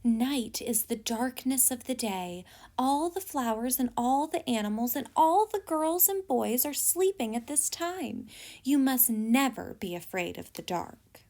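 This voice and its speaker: A woman voicing a kindly, wise little male ant who gently explains and reassures in a children's storybook. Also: sits high, lively intonation, measured pace. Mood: reassuring. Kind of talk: children's storybook read aloud.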